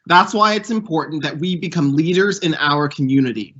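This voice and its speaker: Bright-voiced young man on a muffled call. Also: a little high, fairly quick. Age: young adult. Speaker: youth program fellow hosting a panel. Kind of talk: prepared speech and interview.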